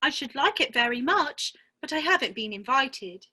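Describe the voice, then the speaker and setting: Woman as a curious prim little girl in a story play, high voice, really lively and fretful. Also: moderate pace, heavily acted. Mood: questioning, earnest. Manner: earnest, prim, exclaiming. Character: polite, curious, fretful young girl Alice. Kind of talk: dramatic reading of a children's classic.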